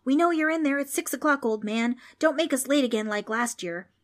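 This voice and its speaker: Booming impatient demon, pitched high, by a woman. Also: a little fast, lightly acted. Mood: impatient, demanding. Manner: booming, demanding shouts. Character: gruff, impatient demon banging to get in.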